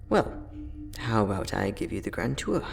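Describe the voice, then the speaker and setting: A man playing a friendly, teasing time-traveling inventor, soft-spoken and chatty in an ASMR roleplay audio drama. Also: on the low side, vocal fry, full character voice. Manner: soft-spoken, chatty, playful, with frequent chuckles.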